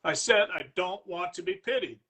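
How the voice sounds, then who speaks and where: A man's voice: high voice, lively intonation, moderate pace, bright, forceful tone, husky, voice pushed up, full character voice. A man voicing an ill-mannered, self-pitying war veteran in a Victorian story reading, curt, grumbling and sneering. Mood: indignant. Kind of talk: live dramatic story reading.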